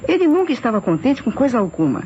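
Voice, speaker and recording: An actress playing an uneasy, defensive wife in an old radio drama, hesitant and theatrical, with a Brazilian accent. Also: female voice, a little high, very animated, quick pace, mellow. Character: uneasy, defensive wife of a missing rich man. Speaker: actress in a radio mystery serial. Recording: muffled, noisy old radio recording.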